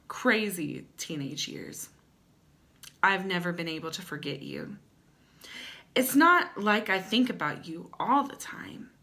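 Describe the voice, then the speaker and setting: A young woman hosting a vlog, giving dramatic comedic readings with wry asides in a theatrical, sardonic manner and emphatic pauses. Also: medium pitch, bright, forceful tone. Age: young adult. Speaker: vlog host. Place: small plain-walled room at home.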